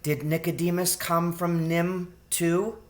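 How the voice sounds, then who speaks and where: A man voicing a gentle, polite adult female mouse in a storybook, asking things patiently. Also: fairly high pitch, flat delivery, slow, voice pushed up. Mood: gentle questioning. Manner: mild, patient, questioning. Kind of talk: storybook character voice in a read-aloud.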